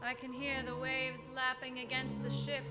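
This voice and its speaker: A woman's voice: high-pitched, monotone, over loud music, natural voice. A woman playing an entranced, haunted young woman speaking as if from a trance in a radio play. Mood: dreamy, eerie. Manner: trance-like, drawn-out. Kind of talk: old-time radio acting.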